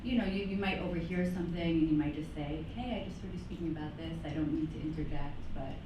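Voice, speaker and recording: A woman's voice: deep voice, quick pace, lo-fi sound. A woman speaking as a panelist at a business networking event, easygoing and laughing often. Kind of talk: panel discussion. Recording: echoey room, distant mic, noisy.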